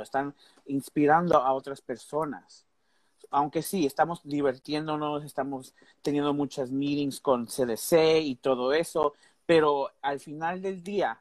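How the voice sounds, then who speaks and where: Hombre titubeante, mezcla inglés. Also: male voice, on the high side, dry recording. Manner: hesitant, searching for words, mixes in English. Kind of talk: casual panel conversation.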